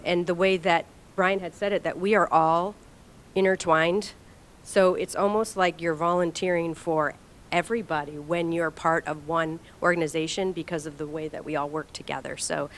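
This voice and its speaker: Woman pitching volunteer work with ums. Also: fairly bright tone. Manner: enthusiastic, persuasive, frequent ums. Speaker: resettlement agency staff. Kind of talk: informal public talk.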